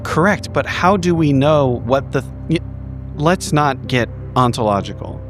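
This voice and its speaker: A man's voice: mid-range voice, lively intonation, a little slow, over music. A man playing a tabletop roleplaying game, improvising in character in an argumentative way and swearing casually.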